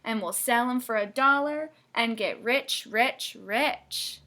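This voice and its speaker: A woman voicing rough, tough hunters in a children's storybook, in a growling, menacing manner. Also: on the high side, slow, bright, forceful tone. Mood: menacing chant. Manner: growling, chanting.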